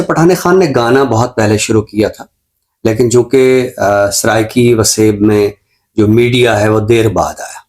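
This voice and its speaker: A man with a Pakistani accent giving an unscripted book review as a show presenter, explaining in a measured, scholarly way. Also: lowish voice, darkish tone.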